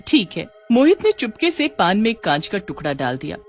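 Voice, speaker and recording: Casting: female storyteller, mid-pitched, scripted narration for an animated horror tale, music behind. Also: normal speed. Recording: music under the voice.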